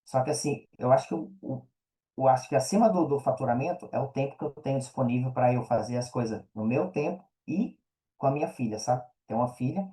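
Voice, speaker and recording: Brazilian male podcast guest: soft-edged, mellow tone, colloquial, earnest, repeats himself to stress a point. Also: mid-range voice, a little fast. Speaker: podcast guest, affiliate marketer and mentor. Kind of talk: casual podcast conversation.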